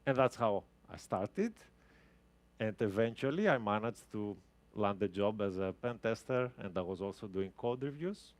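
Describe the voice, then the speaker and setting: Man, 30s, lowish voice, casual live tech talk, keeps restarting sentences. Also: lively intonation, moderate pace. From Greece. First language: Greek. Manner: casual, explanatory, with frequent restarts. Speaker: guest speaker. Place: talk venue with a live audience.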